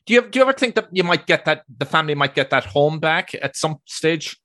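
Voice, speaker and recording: A man interviewing a guest in a conversational style, asking questions in a measured, sympathetic way. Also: fairly high pitch, lively intonation, fairly bright tone.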